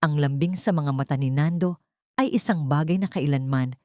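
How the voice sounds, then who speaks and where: Low, dark-voiced Filipino woman narrator. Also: normal speed, no echo, studio quality. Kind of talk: dramatic scripted story narration.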